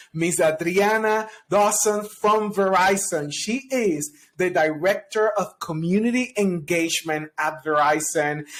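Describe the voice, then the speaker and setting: High-pitched guy hosting a podcast, excited, gushy. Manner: upbeat, effusive. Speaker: podcast host. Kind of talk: podcast interview.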